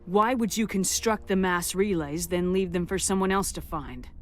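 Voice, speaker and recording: A woman's voice: fairly low pitch, normal speed, soft background music, natural voice. Firm, defiant military commander, played by a woman. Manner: firm, terse, challenging. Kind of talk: video game hero voice acting.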